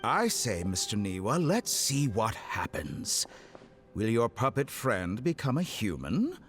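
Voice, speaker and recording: Casting: game villain, a cold, condescending doctor, low-voiced, extremely animated, quick. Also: male voice, soft background music, heavily acted. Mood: mocking, menacing. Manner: theatrical, condescending, mock-polite. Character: suave, mocking, coldly theatrical villainous male doctor. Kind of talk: dramatic video game voice acting.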